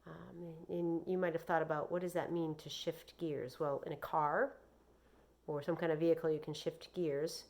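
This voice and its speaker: A woman reading aloud like a teacher to children, expressive and deliberate in her delivery. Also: deep voice. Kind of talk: read-aloud with explanations and questions for children.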